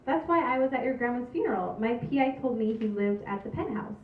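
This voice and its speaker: Woman as high, lively, eager sitcom girl. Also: brisk, lightly acted. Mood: eager, puzzled. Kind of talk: comedy script table read. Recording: distant, echoey, muffled, noisy live recording.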